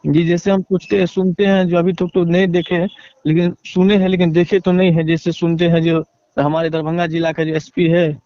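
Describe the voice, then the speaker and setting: Man, Bihari accent, slightly high voice, halting and deferential, repetitive fillers, dull lo-fi phone recording. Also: level tone, dark tone. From Bihar, India. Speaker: interviewee, relative seeking justice. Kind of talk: phone interview account.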